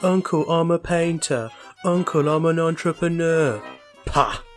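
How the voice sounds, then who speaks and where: A mean, mocking old uncle from a short story, acted out by a male reader. The voice jumps up high and loud, sneering and forceful. Music plays clearly underneath. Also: unhurried, close to an everyday voice. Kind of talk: short story character reading.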